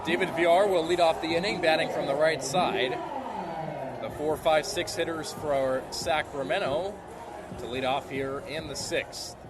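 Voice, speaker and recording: A male announcer calls a live game play-by-play. His voice sits a little high, and venue noise is clearly heard behind the commentary. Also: measured pace. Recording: clear arena and ballpark noise.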